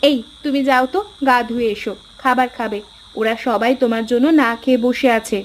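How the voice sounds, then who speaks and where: Woman playing a high-voiced homely village wife. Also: steady tone, fairly quick, slight background noise, natural voice. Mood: caring, coaxing. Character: homely village wife and elder sister, caring, nudging. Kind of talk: cartoon dialogue acting.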